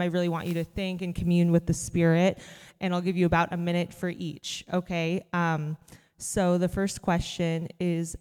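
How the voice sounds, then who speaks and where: A woman's voice: fairly low pitch, clean tone, long reverb tail. Earnest woman leading a guided meditation. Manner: earnest, gentle and heartfelt. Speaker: worship leader. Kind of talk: guided meditation in a church service.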